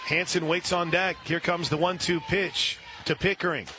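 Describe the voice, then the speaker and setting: A man calling live softball play-by-play as a radio announcer. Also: high-pitched, steady tone, moderate pace. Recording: live outdoor ballpark broadcast, clear ambient noise.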